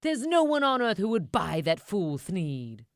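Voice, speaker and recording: A woman voicing a small, mossy old male forest creature for a storybook, bossy and indignant, with a sharp edge and emphatic delivery. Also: medium pitch, very animated, measured pace, dry recording, clean studio sound. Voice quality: sharp-edged. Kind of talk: storybook character voice.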